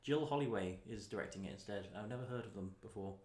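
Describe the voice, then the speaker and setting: A man co-hosting a casual podcast, chatty and rambling, slightly hoarse from tonsillitis, often laughing. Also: lowish voice, fast, darkish tone. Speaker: podcast co-host. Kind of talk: casual chat. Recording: echoey room.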